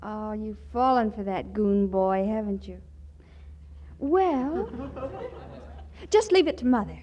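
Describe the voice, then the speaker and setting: A woman's voice: mid-range voice, expressive, slow, highs cut off. An actress playing a scheming, wisecracking woman friend in a 1940s radio comedy, with a playful delivery.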